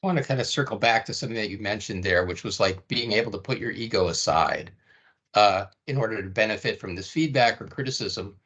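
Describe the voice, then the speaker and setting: Male co-host, wry and reflective, husky voice. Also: lowish voice, brisk, fairly bright tone. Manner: reflective, wry, asks with "right?". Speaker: podcast co-host. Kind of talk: conversational interview questions.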